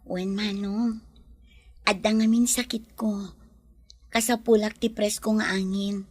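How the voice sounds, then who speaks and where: A woman with a Filipino accent playing a gentle, ailing city businesswoman, soft-spoken and reflective, in an audio drama. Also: mid-range voice, level tone, takes it slowly. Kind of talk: Ilocano audio drama acting.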